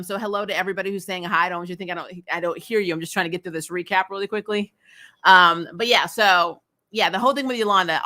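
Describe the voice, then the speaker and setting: A woman's voice: mid-range voice, bright, forceful tone. A woman hosting a casual livestreamed TV recap, speaking in an emphatic, blunt, opinionated way with casual swearing. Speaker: host of a TV show recap channel.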